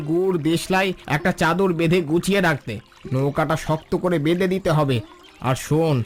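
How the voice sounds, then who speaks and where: A man playing a high-voiced protective village father. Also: a little hiss or hum, natural voice. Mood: calm caution. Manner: instructive, reassuring. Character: steady, protective village father, practical and watchful. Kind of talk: Bengali cartoon dialogue. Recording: music behind the voice.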